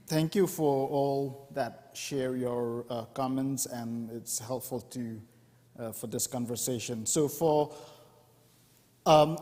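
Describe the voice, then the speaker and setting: A man from India, giving an earnest, explanatory mission presentation in church, often pausing to find his words. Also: mid-range voice, darkish tone. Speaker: mission speaker. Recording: long reverb in a church hall.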